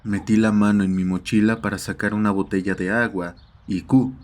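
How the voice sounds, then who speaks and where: A man's voice: fairly low pitch, fairly even intonation, moderate pace. A man narrating a scripted horror story. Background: ambient sound effects.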